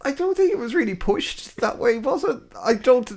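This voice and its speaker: A man's voice: extremely high pitch, very animated, moderate pace, gravelly, darkish tone. An Irish man co-hosting a podcast in casual chat, rambling, swearing casually and losing his train of thought. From Ireland. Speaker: podcast co-host.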